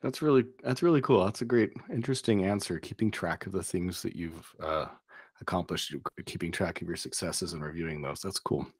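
Middle-aged man, host, fairly low voice, dry close studio mic, upbeat. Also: brisk, husky, no echo. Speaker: interview host. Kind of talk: casual video-call interview. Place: home studio. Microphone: boom studio microphone with headphones.

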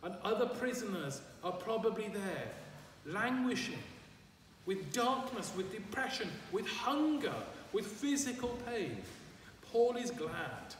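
Slow, high-voiced older priest in echoey church. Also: male voice. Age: 60s or older. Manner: deliberate, emphatic, with measured phrases and repetition. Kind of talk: sermon. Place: large stone church, at a lectern. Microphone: far camera microphone. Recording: echoey church, distant mic, background noise.

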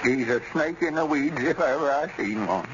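An excitable, stubborn old country storekeeper played by a man in a vintage radio comedy, drawling in a rural Southern accent. His pitch jumps up and down expressively. The recording is muffled and full of noise. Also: normal speed, close to an everyday voice. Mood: dejected. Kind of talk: 1930s radio comedy acting.

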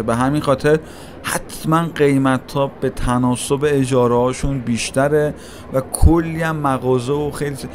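A man giving a casual, chatty walking-tour narration, talking straight to his viewers as he strolls through a large indoor shopping mall. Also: medium pitch. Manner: chatty, addresses viewers directly, musing. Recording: noisy mall ambience. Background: mall crowd ambience.

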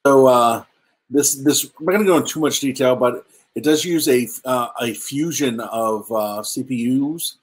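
Husky man presenting on a video call. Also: medium pitch, moderate pace. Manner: hesitant, many ums and restarts, relaxed and chatty. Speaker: presenter at a virtual meetup. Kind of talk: casual presentation over slides. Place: indoor room at home. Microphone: webcam video call.

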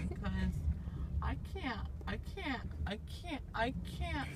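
A young woman talks in a groggy, slurred ramble, her numb mouth softening the words. She drifts into silly sing-song snatches at a mid pitch and an unhurried pace. Road noise from a moving car hums clearly under her, caught on a phone. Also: expressive. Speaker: patient after wisdom teeth removal. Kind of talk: loopy rambling chat. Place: passenger seat of a moving car. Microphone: phone camera held by driver.

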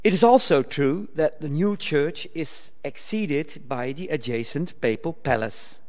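Older male academic at a lectern, careful formal delivery, fairly high voice, echoing lecture hall. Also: expressive, darkish tone. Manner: measured, formal delivery with occasional stumbles and restarts. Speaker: conference speaker. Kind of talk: reading a prepared academic paper. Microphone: lectern microphone. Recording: reverberant hall.

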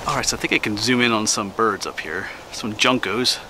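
A middle-aged man from Pennsylvania casually narrating as he hikes a winter forest trail, relaxed and slightly out of breath. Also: lowish voice. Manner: relaxed, chatty, pauses for breath. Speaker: hiking vlogger. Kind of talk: casual narration while hiking. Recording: outdoor noise on camera mic.

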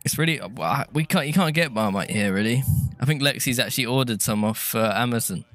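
A man's voice: medium pitch, brisk, a bit bright, no echo. Man from Britain, casual stream co-host, headset mic, mid-range voice, chatty. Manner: chatty, thanks donors, sings little jingles. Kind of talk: casual chat while gaming. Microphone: gaming headset.

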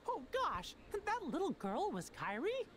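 An actor voicing an earnest male cartoon mouse king in a game, with a squeaky voice. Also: wildly swooping pitch, a little slow, fairly bright tone, a little hiss or hum, full character voice. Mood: surprised. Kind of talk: animated game character acting.

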